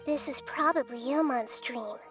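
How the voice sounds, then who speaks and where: A woman voicing a small, childlike, wise goddess in a video game, gentle, measured and thoughtful. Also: high voice, close to an everyday voice. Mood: calm. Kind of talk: video game character acting. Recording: faint game music behind.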